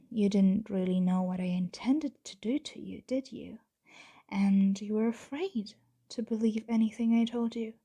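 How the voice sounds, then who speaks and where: A woman voicing a composed, patient vampire owner in an ASMR roleplay, speaking softly and half-whispering with measured, formal, deliberate phrasing. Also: medium pitch. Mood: reflective, gentle. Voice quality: soft, breathy, half-whispered with creaky edges. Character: composed, patient female vampire owner, commanding yet gentle. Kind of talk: ASMR roleplay, scripted monologue to the listener.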